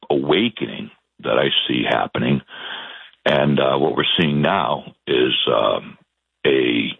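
A man from the Boston area with a Boston accent, a guest in a political talk, speaking earnestly with halting restarts. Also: rumbling low, creaky, husky, dry recording. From Boston area, Massachusetts. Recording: tinny phone-like line.

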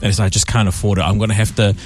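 A New Zealand man from Auckland hosting a radio show, telling stories in an animated, chatty way. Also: lowish voice, very fast, soft background music. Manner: animated storyteller. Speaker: radio host. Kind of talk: casual radio chat. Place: radio studio.

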